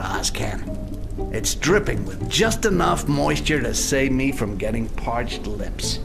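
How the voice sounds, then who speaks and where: An actor playing a cynical goblin thief in a video game, with a gravelly voice and a dry, sardonic manner. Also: male voice, medium pitch, full character voice. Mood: dry, puzzled.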